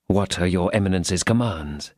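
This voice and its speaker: A man's voice: deep voice, normal speed, darkish tone, dry recording, studio quality. A man voicing a loyal count who reports plainly and deferentially to his master. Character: loyal, businesslike male count and agent.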